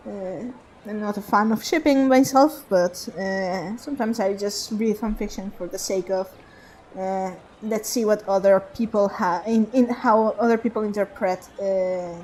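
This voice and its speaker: A woman's voice: mid-range voice, dark tone, a little hiss or hum, low-quality recording. A woman streaming a game, rambling in casual commentary with frequent laughter and restarts.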